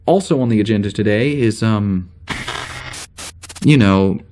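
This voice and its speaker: A man playing a flustered security officer on a spaceship, recording an informal audio log in a halting, rambling way for an audio drama. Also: lowish voice, mellow, a little hiss or hum, lightly acted. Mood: casual, uncertain. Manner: halting, rambling, full of hesitations and sighs.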